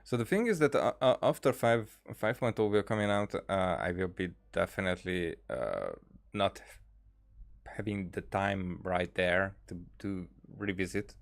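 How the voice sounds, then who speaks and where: A man livestreaming a game in a casual, rambling way, often halting and trailing off mid-thought. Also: low voice, expressive, gravelly. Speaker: game streamer. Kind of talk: casual livestream chat over gameplay.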